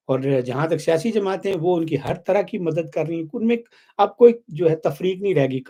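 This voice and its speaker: A man's voice: a little high, mellow. A man with a Pakistani accent giving blunt, critical, unscripted political commentary as a show host.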